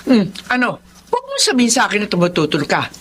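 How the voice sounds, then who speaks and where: A sharp, animated scolding mother. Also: medium pitch, quick pace. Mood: indignant, scolding. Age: middle-aged. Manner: emphatic, confrontational. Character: indignant, protective, scolding mother of a grown daughter. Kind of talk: Filipino radio drama acting. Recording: light background noise.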